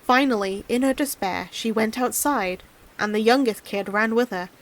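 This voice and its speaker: A woman narrating a fairy tale in an expressive storybook style. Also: a little high, moderate pace. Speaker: audiobook narrator and streamer.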